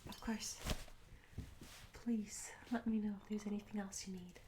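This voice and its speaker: A woman's voice: medium pitch, fairly even intonation, measured pace, slight background noise, natural voice. Woman playing a whispery, curious medieval tavern maid. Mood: welcoming, attentive. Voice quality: soft, breathy, often whispered. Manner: gentle, polite, old-fashioned storybook phrasing. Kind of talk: soft-spoken ASMR roleplay. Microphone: close ASMR microphone.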